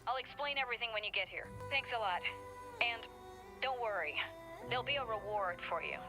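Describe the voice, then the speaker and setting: A woman voicing a polite, apologetic colleague making a brisk, courteous request in a video game. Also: a little high, expressive, lightly acted, low-quality recording. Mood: apologetic, earnest. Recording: game call over clear music.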